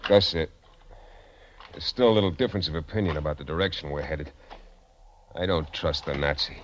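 An actor playing a tough, suspicious sailor with a blunt, slangy, hard-boiled manner in an old-time radio drama, on a noisy recording. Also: male voice, rumbling low, a little fast, muffled recording. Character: tough, suspicious working-class sailor taking command.

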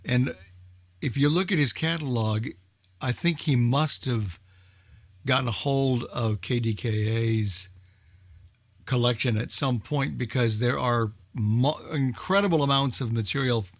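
A man's voice: medium pitch, a little slow, no room sound. A man in casual group conversation, explaining things patiently and spelling them out.

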